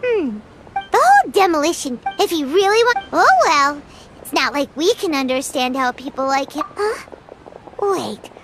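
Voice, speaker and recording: A woman voicing a small, childlike, excitable fairy companion in a video game, with a squeaky voice and a chirpy, curious manner. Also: moderate pace, over loud music. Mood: puzzled. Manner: speaks of self in third person. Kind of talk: animated video game acting. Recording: noisy game stream with clicks.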